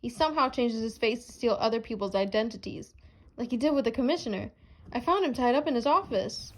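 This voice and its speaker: A plucky female newspaper reporter, played by a woman, with a high voice. She speaks earnestly, in the manner of an amateur superhero radio drama. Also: a little fast, close to an everyday voice. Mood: earnest, urgent.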